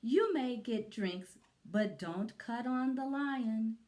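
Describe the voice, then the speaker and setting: A silly, cheerful lady schoolteacher from a storybook, as a woman reader puts her on. She calls out to her class in a raised, high pitch with a singsong manner, taking her time over the words. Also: slow, darkish tone. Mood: cheerful announcing.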